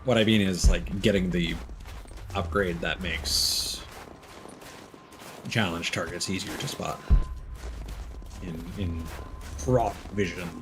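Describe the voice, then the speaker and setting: A man's voice: low-pitched, steady tone, slow pace, pauses often, noisy background. A man streaming a video game, commenting casually and playfully, thinking aloud and reading out prompts. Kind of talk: casual live gameplay commentary.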